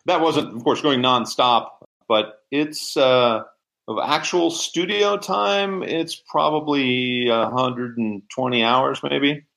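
A man's voice: medium pitch, slow, gravelly, fairly bright tone. A man speaking as an interview guest, giving casual explanations in conversation. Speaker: interview guest, society co-founder.

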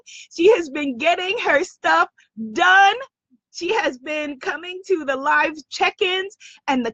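A woman with a slightly hoarse voice hosts a live stream, effusively greeting viewers by name. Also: high-pitched, pushed and bright, no room sound, highs cut off. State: slightly lost voice. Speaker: host of a live spiritual business stream.

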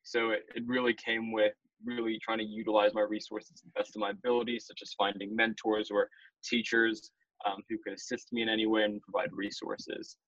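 A young man with a low voice, a podcast guest who explains in long, orderly sentences. The sound is dry and a little dull, with no room around it. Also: level tone, a little fast, fairly bright tone, dry recording. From Malaysia. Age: young adult. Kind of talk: podcast interview.

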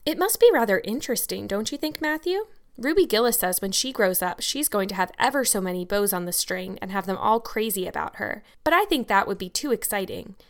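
A woman's voice: mid-range voice, lively intonation, fairly quick, close to an everyday voice. Woman as a chatty, dramatic young girl. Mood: curious. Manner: chatty, earnest, grandly worded. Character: talkative, dramatic, imaginative young girl, confident and bookish. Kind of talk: audiobook character dialogue.

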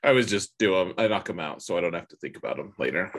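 A man co-hosting a gaming podcast, chatting casually and colloquially with a husky voice and strong opinions. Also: sits low, expressive, racing, a bit bright, a little hiss or hum. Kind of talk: casual chat about a mobile game.

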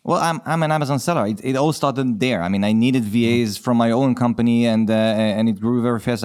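Friendly Israeli-accented man, smooth voice. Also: mid-range voice, darkish tone, dry recording, studio quality. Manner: friendly, laughs easily, hesitant fillers. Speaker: company founder, guest. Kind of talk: casual interview chat.

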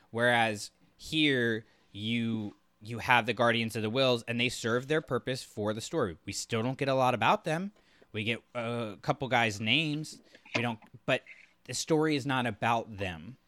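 A man's voice: lowish voice, bright, forceful tone, no echo. A man co-hosting a podcast, talking through a story in a casual, analytical and explanatory way. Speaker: podcast co-host. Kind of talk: casual book discussion.

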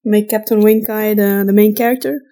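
Female co-host, Flemish accent, native Dutch. Average pitch, informal listener Q&A delivery with hesitant mid-sentence pauses. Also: steady tone, mellow. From Flanders, Belgium. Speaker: podcast co-host. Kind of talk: casual conversational Q&A.

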